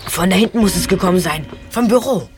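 A man's voice: high-pitched, quick pace, faint music behind, lightly acted. A man playing a keen, observant teenage boy detective in an audio drama, eagerly reasoning aloud. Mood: concerned. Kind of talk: amateur audio drama acting.

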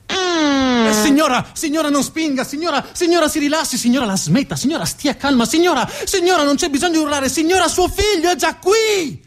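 A man playing a flustered attendant in a stage tale, urgently and repetitively pleading with a woman to calm down. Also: extremely high pitch, a little fast, soft background music, voice pushed up.

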